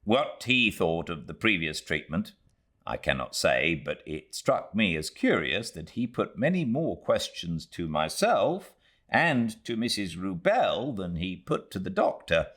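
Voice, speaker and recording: Deep-voiced British man narrating, expressively varied. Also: very animated, a little slow, a bit bright. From Britain. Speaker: audiobook narrator. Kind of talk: literary audiobook narration.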